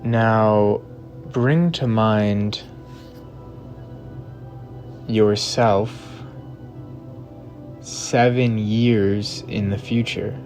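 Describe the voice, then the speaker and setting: A man with a fairly low, very even voice guides a meditation. He speaks very slowly and deliberately, leaving long pauses between short phrases. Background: background music.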